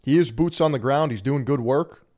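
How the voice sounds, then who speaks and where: A man's voice: mid-range voice, normal speed, mellow. A man streaming live and reacting to a video with crude, wry commentary and frequent laughter.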